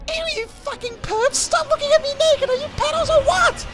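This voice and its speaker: A histrionic teenage goth girl acted out by a man in a comic story reading. The voice shoots far up into loud, outraged screeches, with music playing behind it. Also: very high voice, moderate pace, pitched above the performer's own voice. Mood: disgusted, furious. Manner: melodramatic, screeching outbursts.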